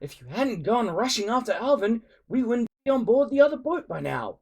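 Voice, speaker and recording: A woman's voice: fairly high pitch, sing-song, moderate pace, a little mellow, slightly rough, pitched above the performer's own voice, heavily acted. A woman voicing a sarcastic, grumbling young Viking boy hero in a storybook.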